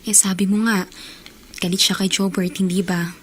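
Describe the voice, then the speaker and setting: An actress playing a gentle, reasoning young woman confidante in a radio drama, with a husky voice. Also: mid-range voice, flat delivery, normal speed, close to an everyday voice. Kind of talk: Tagalog radio drama acting.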